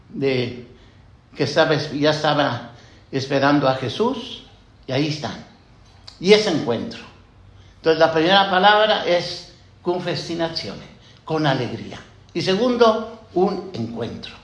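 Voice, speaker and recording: A man preaching a homily as a priest, reflective and unhurried, often pausing with "eh" and asking questions of the congregation. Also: on the high side, roomy sound. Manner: slow, reflective, with many "eh" fillers and questions to the congregation. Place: church.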